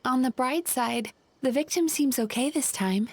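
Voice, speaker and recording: A woman voicing a kind young female companion in an anime game, earnest and expressive. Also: high-pitched, normal speed, slight background noise. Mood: reassuring. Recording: music under the voice.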